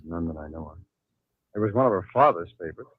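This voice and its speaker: Worried, weary man, husky, grave 1950s radio drama delivery, muffled recording with music behind. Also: low voice, expressive, quick pace, no room sound. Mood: uneasy, grave.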